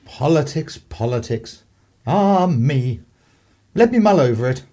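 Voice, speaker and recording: A man's voice: on the high side, animated, unhurried, mellow. A man playing a genteel, deliberating host of the 1930s in a radio play. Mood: weary.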